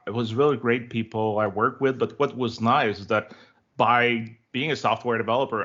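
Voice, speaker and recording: A man from Quebec speaks English with a Québécois French accent, his first language French. He talks in a friendly, rambling way, with lots of fillers and restarts, in a slightly low voice. The audio is dull and lo-fi, like an online call. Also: fairly bright tone. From Quebec, Canada. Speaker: guest. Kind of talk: casual interview chat.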